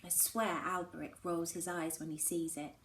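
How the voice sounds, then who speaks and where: A woman teacher reading a children's novel aloud in an expressive storytelling style. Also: on the low side, normal speed. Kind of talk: expressive storybook read-aloud with acted dialogue. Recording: roomy echo with faint background noise.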